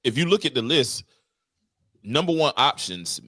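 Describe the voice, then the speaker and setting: Brief: male co-host, mid-pitched, steady point-by-point argument, close dry mic. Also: moderate pace, no echo. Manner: methodical, laying out points one by one. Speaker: podcast co-host. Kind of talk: casual sports chat.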